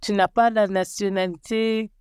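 A woman in her forties, a guest telling her life story slowly and hesitantly, often restarting as she searches for words. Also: medium pitch, level tone, darkish tone, clean tone, dry recording, studio quality. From Belgium and Rwanda. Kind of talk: personal life story interview.

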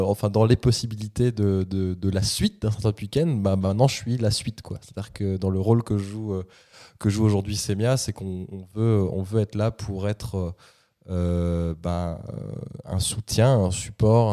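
A man's voice: lowish voice, warm tone. A man speaking as a podcast guest about supporting startups, talking eagerly with many restarts. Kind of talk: podcast conversation.

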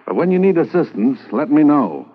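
An actor playing a brisk, patient Mountie sergeant who questions in a crisp manner, in a 1950s radio drama. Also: male voice, fairly high pitch, big pitch swings, loud music behind the voice. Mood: businesslike. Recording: tinny lo-fi old radio broadcast with hiss.

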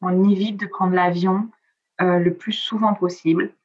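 A middle-aged woman from southern France, whose first language is Italian, answers interview questions in a loose, chatty way. Her voice sits a little low and she fills pauses with hesitations. The recording sounds slightly muffled. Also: steady tone. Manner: chatty, frequent hesitations, trails off softly. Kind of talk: casual interview chat.

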